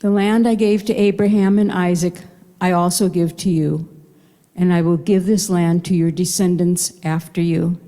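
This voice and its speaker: Dark-voiced woman reading scripture aloud. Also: fairly low pitch, steady tone, normal speed. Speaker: congregant volunteer reader. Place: synagogue hall. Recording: reverberant hall.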